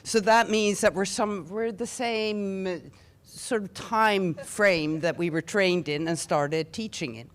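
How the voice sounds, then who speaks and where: A woman's voice: mid-range voice, slow pace. A Norwegian woman in her sixties, a veteran teacher and union official, speaking reflectively on a panel. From Norway. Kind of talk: panel discussion.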